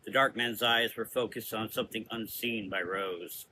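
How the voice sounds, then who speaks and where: A man's voice: lowish voice, steady tone, normal speed, pushed and bright, slight background noise. A man reading scripted narration for a gothic horror story.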